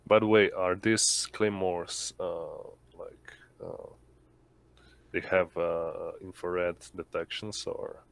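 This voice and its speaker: A man chatting as a player in a tabletop roleplaying game, halting and often questioning. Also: low-pitched, steady tone, creaky, dry recording. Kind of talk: casual tabletop game chat.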